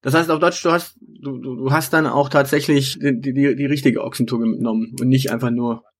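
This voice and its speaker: A male host leads a casual interview in a husky, slightly breathy voice. He asks his questions loosely, tripping over his words and repeating himself before he gets to the point. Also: mid-range voice, steady tone, brisk.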